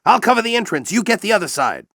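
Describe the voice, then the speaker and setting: A man playing a grizzled older alien warrior general in a video game, with a gruff, commanding, theatrical delivery and a fanatical intensity. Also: sits high, big pitch swings, fast, sharp tone, no echo, studio quality, full character voice. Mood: brisk, commanding. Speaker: military commander and mentor. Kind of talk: video game voice acting, combat barks and dramatic speeches.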